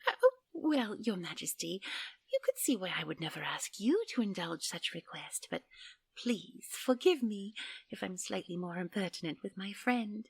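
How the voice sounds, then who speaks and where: A woman playing a playful, polite fey princess in a fantasy audio roleplay, a little hesitant and impertinent, with a breathy voice. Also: medium pitch, big pitch swings, normal speed, no room sound. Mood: apologetic, playful. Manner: hesitant, courteous, prone to blurting.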